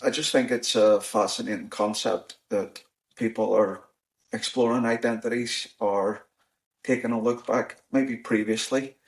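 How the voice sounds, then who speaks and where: Gravelly low Northern Irish man. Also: steady tone. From Northern Ireland. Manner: measured, many restarts and "you know"s. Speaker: podcast host. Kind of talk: casual discussion. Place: in-person recording on the Shankill, Belfast.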